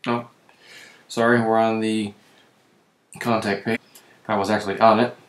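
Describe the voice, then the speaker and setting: A man with a voice that is giving out, who clears his throat often and keeps correcting himself while walking through software on screen. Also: fairly low pitch, flat delivery, unhurried, roomy sound. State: losing his voice. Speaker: web tutorial presenter. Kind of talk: screen-recorded software walkthrough.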